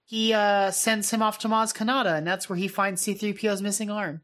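A woman's voice: mid-range voice, little pitch movement, a little fast, fairly bright tone. A woman co-hosting a podcast, chatting casually and enthusiastically. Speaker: podcast co-host. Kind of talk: casual chat.

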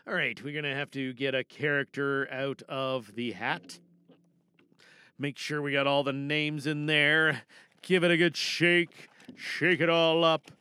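A man's voice: on the high side, level tone, unhurried, bright, forceful tone, clear, resonant tone. A man hosting a podcast in a chatty, jokey, theatrical showman manner. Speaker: podcast host. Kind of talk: chatty show introduction.

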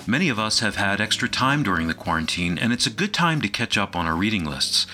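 A man's voice: fairly low pitch, sing-song, quick pace, sharp tone, soft background music. A man reading a scripted promotional announcement as a radio announcer, carefully spelling out details for listeners.

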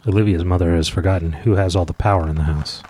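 A man's voice: low voice, steady tone, fast, warm tone, a little hiss or hum. A man hosting a podcast in casual chat, coaching a child with dry, wry asides. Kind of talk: casual chat with teasing commentary.

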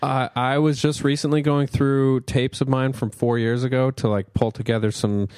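A man who co-hosts a podcast and does stand-up, talking casually. He is agreeable and often chimes in with a quick "yeah". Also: mid-range voice, flat delivery, darkish tone, clean tone, no echo. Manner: agreeable, frequent "yeah" backchannels. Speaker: podcast co-host and stand-up comedian.

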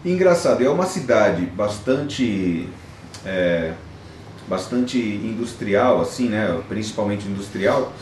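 A man's voice: on the low side, animated, slow pace, darkish tone, recorded from a distance. A man on a podcast panel in casual music chat, thinking aloud with hesitations. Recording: echoey room, off-mic, faint noise.

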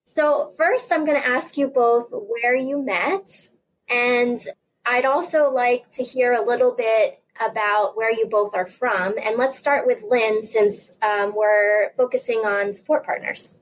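A woman's voice: fairly high pitch, unhurried, rough recording. A woman presenting health information and interviewing guests as the host.

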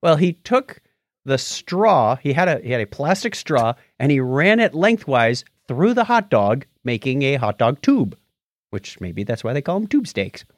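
A man's voice: mid-range voice, big pitch swings, a little slow, no echo. A man co-hosting a podcast, chatting casually and jokily about news, often chuckling as he goes. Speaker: podcast co-host. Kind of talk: casual tech news chat.

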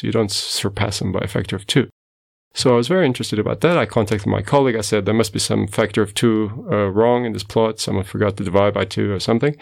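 A middle-aged man from Portugal with a Portuguese accent, giving measured, explanatory answers as a guest scientist in an interview. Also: fairly low pitch, gravelly. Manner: measured, explanatory, self-correcting.

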